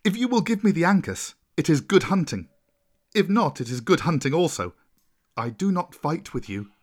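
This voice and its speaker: Man playing a fearless jungle boy, storybook, lifted pitch, direct, courteous, curious, spirited. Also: sing-song, normal speed. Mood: defiant. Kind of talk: storybook character voice.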